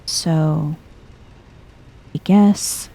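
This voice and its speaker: A soft-spoken woman giving hushed ASMR-style game commentary, musing to herself in an unhurried, breathy way and often dropping into a whisper. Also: low voice. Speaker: video game streamer, let's player.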